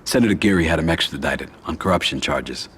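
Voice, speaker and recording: A man playing a powerful, calm mafia boss in a video game cutscene, speaking in a measured, grave, authoritative way. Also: extremely low pitch, a little hiss or hum. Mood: grave, persuasive. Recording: music under the voice.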